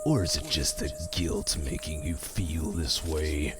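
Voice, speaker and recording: An extremely low-voiced ghost of an old killer speaks slowly over loud music, taunting and accusing. He is a menacing mentor in an audio drama. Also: fairly even intonation. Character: dead murderous mentor haunting his former pupil, menacing, taunting.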